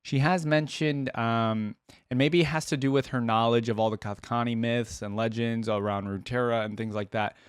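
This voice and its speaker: A man's voice: medium pitch, fairly even intonation, moderate pace, smooth, dry recording, clean studio sound. A man hosting a reaction video, giving casual commentary and musing aloud as he goes. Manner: musing, thinking aloud, repeats phrases he hears.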